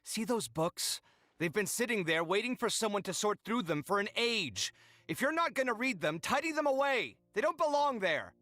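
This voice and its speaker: An emotional, exasperated young man in a fantasy game. His voice sits fairly high and moves dramatically up and down, with a bright, forceful edge. He sounds fussy and expressive, over background music. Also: normal speed, no room sound, full character voice. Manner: theatrical, huffy, expressive. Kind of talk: animated video game voice acting.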